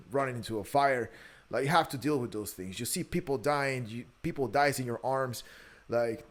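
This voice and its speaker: Guy in his 30s, guatemalan spanish accent, medium pitch, chatting candidly on a podcast, repeats words a lot. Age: early thirties. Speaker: podcast guest, entrepreneur. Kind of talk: conversational interview, personal storytelling.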